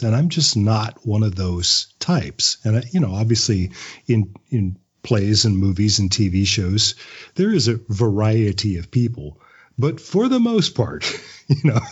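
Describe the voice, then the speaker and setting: A man's voice: lowish voice, husky, highs cut off. A man speaking as an interview guest in a casual, anecdotal, conversational way, often chuckling as he talks. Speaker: interview guest, writer and actor.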